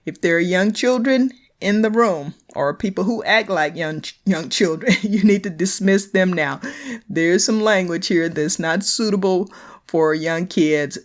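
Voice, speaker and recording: Woman host introducing an interview show. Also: fairly low pitch. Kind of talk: scripted-sounding podcast introduction with casual asides.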